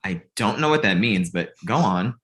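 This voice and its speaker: A man's voice: fairly low pitch, animated, moderate pace, fairly bright tone. A man playing an earnest, exasperated male manager in a comedy table read, urgent and conversational. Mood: skeptical.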